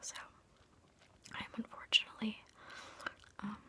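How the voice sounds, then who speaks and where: A woman whispering softly and breathily in a gentle, casual, reassuring way, as an ASMR host chatting and guiding a meditation into her phone. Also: a little slow. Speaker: ASMR video host leading a guided meditation. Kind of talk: whispered ASMR, soft informal chat and guided meditation.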